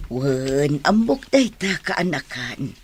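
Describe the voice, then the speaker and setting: Radio drama aunt woman actor: middle-aged, Ilocano accent, unhurried delivery, gentle and trusting. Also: deep voice, big pitch swings, a little hiss or hum, exaggerated voice. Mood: kindly. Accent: Filipino, Ilocano.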